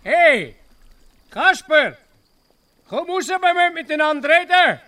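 A pragmatic Swiss village mayor in a radio play. His voice sits high for a man and moves in lively swings, with a Swiss German accent and a down-to-earth, placating manner. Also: slow pace, a little hiss or hum, heavily acted. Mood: calling, urgent.

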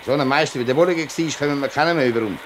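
An actor playing an upright, forthright workers' leader with a Swiss German accent in a 1950s radio play, reproachful and direct. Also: male voice, medium pitch, racing, natural voice. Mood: indignant. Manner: reproachful, straight-talking. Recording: old radio recording with background noise.

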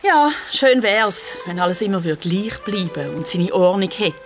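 A woman's voice: mid-range voice, expressive, normal speed, soft background music, lightly acted. A woman with a Swiss German accent narrating a children's radio play in a vivid, dramatic storytelling manner. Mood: wry.

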